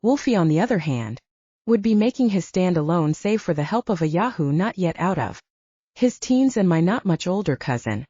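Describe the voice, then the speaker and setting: A woman's voice: fairly low pitch, expressive, moderate pace, dark tone, breathy edge, no room sound, muffled recording. A woman reading a scripted first-person story as an audiobook narrator.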